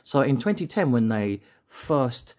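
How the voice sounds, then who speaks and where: A man's voice: medium pitch, very animated, unhurried, darkish tone. A man in his late forties hosting a casual vlog, joking and rambling as he often restarts his sentences. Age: 40s. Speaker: action figure seller and channel host.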